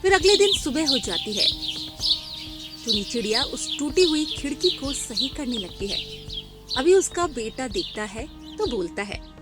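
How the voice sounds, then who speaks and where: A woman's voice: sits high, sing-song, a little slow. Woman narrating a children's cartoon. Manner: clear, storytelling. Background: background music.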